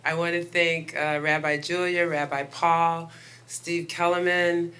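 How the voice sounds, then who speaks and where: A woman guest pastor with a loud voice giving a chatty sermon and prayer to a congregation, laughing often between lines. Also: on the low side, level tone, unhurried, slight background noise. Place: house of worship.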